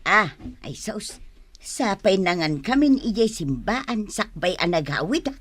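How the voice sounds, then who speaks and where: A woman's voice: mid-range voice, sing-song, measured pace, a bit bright, slightly rough, heavily acted. A woman playing a young female store helper in a comedy radio drama, exclamatory, with sing-song questions. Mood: chatty.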